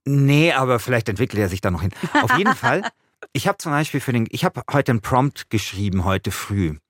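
A man's voice: medium pitch, sing-song, a little fast, fairly bright tone, no echo, clean studio sound. A middle-aged man hosting a podcast as a journalist, speaking colloquially in a mix of casual chat and first-person narration.

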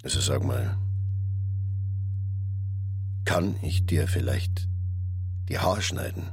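A man's voice: extremely low pitch, takes it slowly, gravelly, full character voice. An actor playing a brooding, plain-spoken hairdresser, a fisherman's son, in a reflective radio drama that mixes narration and inner monologue. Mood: persuasive, casual. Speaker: actor who also narrates his figure.

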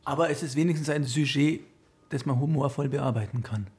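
A man working as a radio interviewer, putting prepared questions in a measured, articulate way. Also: medium pitch, a little mellow, slightly rough.

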